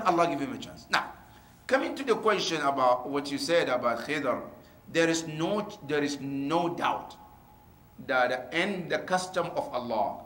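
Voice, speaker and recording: A middle-aged man, a cleric answering questions in a mosque, explaining with animated gestures and reciting Arabic verses quickly. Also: on the high side. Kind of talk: religious Q&A teaching. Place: mosque prayer hall, seated on floor. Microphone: floor mic stand beside him. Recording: echoey prayer hall.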